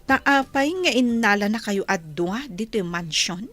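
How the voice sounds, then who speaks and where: A woman playing the sharp, suspicious wife of a wealthy mansion owner in a radio comedy drama. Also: mid-range voice, lively intonation, normal speed, faint music behind, close to an everyday voice.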